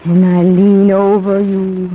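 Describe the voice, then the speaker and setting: A woman's voice: mid-range voice, flat delivery, takes it slowly, slight background noise, natural voice. An actress playing a languid, predatory female vampire, coaxing and sultry, in a 1940s radio drama. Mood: seductive, hungry. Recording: tinny, band-limited 1940s radio broadcast.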